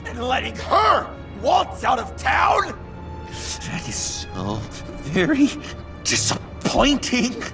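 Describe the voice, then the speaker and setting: An unhinged clown villain in a video game, very high and wildly swinging in pitch. He rants theatrically, feeling betrayed and sure he is the hero, with gravelly cracks in the shouting and music underneath. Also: huge pitch swings, slow pace, recorded from a distance, exaggerated voice. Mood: bitter. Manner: theatrical, ranting, indignant.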